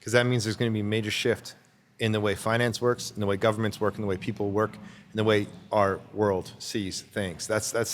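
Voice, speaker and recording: Low-voiced man in a reverberant room. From Canada. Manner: rambling, repeats words while searching for thoughts. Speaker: guest. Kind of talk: casual conversational interview.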